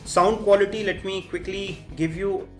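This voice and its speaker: A man with a fairly high voice delivers a product review. He explains each point methodically as he demonstrates. Soft guitar music plays behind him. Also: fairly even intonation, normal speed. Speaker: tech reviewer, host. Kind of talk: phone review commentary over demonstration.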